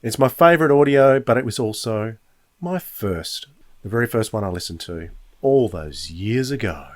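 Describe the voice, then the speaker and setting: Low-voiced enthusiastic male podcast host. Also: lively intonation, moderate pace, darkish tone. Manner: enthusiastic fan talk. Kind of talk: casual review podcast monologue.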